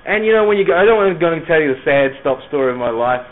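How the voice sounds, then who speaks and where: Scottish guy preaching to kids, bit of nz in the accent, highish voice, lots of pauses, thin phone-like audio. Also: lively intonation. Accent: Scottish, softened by New Zealand. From Scotland, moved to New Zealand as a schoolboy. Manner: rhetorical questions, dramatic pauses and emphatic repetition. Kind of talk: evangelistic sermon to young people. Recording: narrow, phone-like lo-fi sound.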